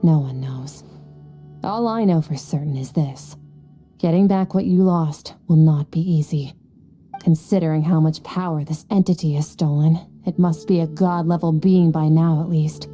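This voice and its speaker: Woman acting a dark-voiced, aloof young warrior woman. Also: low voice, normal speed, faint music behind, close to an everyday voice. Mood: grave, detached. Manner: curt, detached, condescending. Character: aloof, cold, powerful young female warrior. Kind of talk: video game voice acting.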